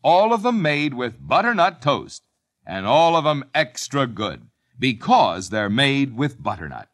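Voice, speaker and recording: A man giving a cheerful sales pitch as a 1950s radio commercial announcer. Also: sing-song, unhurried, a bit bright.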